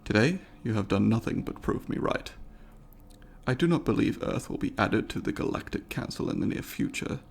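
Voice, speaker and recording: A man voicing a curious, courteous alien researcher in an ASMR roleplay, speaking formally and deliberately, with academic wording and a gravelly voice. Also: lowish voice, animated. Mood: polite, reflective. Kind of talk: ASMR roleplay, scripted monologue to the listener.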